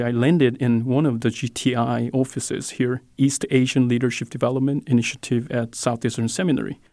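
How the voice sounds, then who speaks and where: A man's voice: mid-range voice, a little mellow, dry recording, clean studio sound. Man with a Korean accent, earnest. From South Korea. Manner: earnest, polite, with hesitant fillers. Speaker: ministry director, guest. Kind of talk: interview answers.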